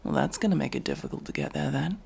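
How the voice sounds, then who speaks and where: A man's voice: medium pitch, wildly swooping pitch, fast, gravelly, a little mellow, husky, exaggerated voice. A man playing an aloof, prickly elf mercenary in a fantasy ASMR roleplay, speaking in a curt, sardonic, exasperated manner. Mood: stern, sardonic. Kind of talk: ASMR fantasy roleplay, acted monologue to listener.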